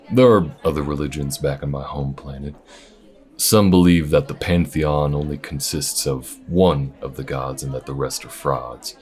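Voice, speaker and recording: A man voicing an easygoing, wry alien bounty hunter with folksy, unhurried phrasing in an audio roleplay. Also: extremely low pitch, darkish tone. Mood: musing. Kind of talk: audio roleplay addressed to the listener. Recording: restaurant chatter behind. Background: restaurant crowd ambience.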